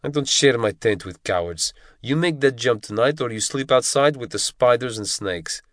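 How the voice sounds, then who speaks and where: A man voicing a giant, abusive Russian parachute instructor who yells and spits as he scolds. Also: medium pitch, moderate pace, no echo, studio quality, voice pushed up, close to an everyday voice. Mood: contemptuous. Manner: yelling, abusive, spitting.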